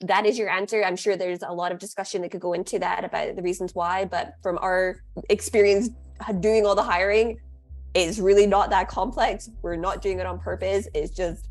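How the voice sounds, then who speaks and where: A woman's voice: mid-range voice, fairly even intonation, moderate pace. A woman talking casually on a podcast as a co-host, chatty and full of "like" fillers. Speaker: podcast co-host, staff member.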